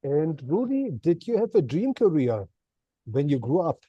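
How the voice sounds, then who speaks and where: A man's voice: fairly high pitch, very animated, measured pace, mellow, slightly rough, no echo, highs cut off. A man hosting an interview, asking his guest polite, formally worded questions. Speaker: interview host.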